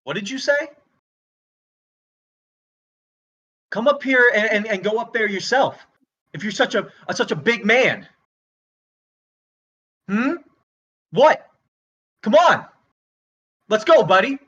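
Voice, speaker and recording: High-pitched flustered failing comedian, acted by a man. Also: animated, sharp tone, highs cut off, exaggerated voice. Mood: angry, confrontational. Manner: halting, stammering, exasperated. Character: flustered, volatile failing male stand-up comedian. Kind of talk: dramatic screenplay table read, stand-up monologue.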